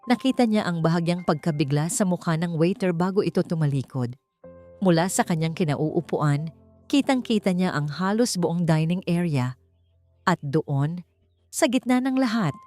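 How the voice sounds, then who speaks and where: A woman with a Filipino accent narrating a scripted dramatic story in an expressive, measured storytelling manner. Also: on the low side. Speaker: audiobook narrator. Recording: music behind the voice. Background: background music.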